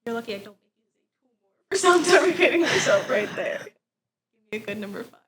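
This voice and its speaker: A woman with a fairly high voice acts as judge of a podcast game. She speaks casually in short prompts, playfully strict yet encouraging. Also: lively intonation, brisk, crowd noise. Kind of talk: casual chat.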